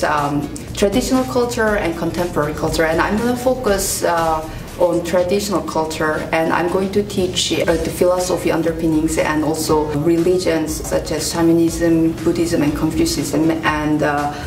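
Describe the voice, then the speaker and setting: A woman instructor speaking haltingly with many pauses and fillers in a promotional interview. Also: deep voice. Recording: roomy, distant mic, music behind.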